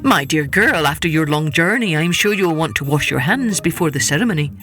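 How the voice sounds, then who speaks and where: A woman voicing a dignified, courteous male king in a storybook tale, with a measured and stately manner. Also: deep voice, animated, fairly bright tone, faint music behind. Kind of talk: storybook character voice.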